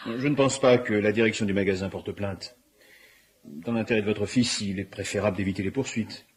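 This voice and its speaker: An actor playing a middle-aged police superintendent in a radio drama, courteous and tactful but firm, speaking formally. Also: male voice, fairly low pitch, brisk, natural voice. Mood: measured, firm. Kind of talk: naturalistic French radio drama acting.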